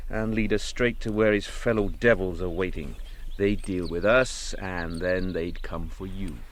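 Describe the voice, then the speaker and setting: Casting: seasoned-sounding woodsman scout, fairly deep, plain-spoken and cocksure, slight background noise. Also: steady tone, a little slow. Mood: firm, scornful. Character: confident, blunt, wry frontier scout and marksman. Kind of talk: radio drama acting.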